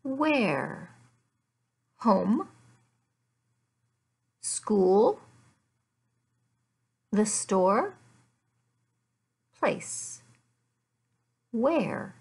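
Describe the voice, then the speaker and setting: An American woman in her fifties teaching beginner English, speaking deliberately in short, simple, repeated phrases with pauses, recorded at home on a laptop microphone. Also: mid-range voice, big pitch swings, a little mellow, breathy edge. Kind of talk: beginner language lesson, addressed to learners. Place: small furnished living room at home.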